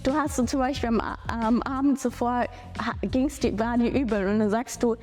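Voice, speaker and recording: A woman in her twenties hosting a casual chat with her mother. She talks quickly and teases her often, laughing a lot. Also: a little high, steady tone, a little mellow, soft background music. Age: 20s. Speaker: host, daughter.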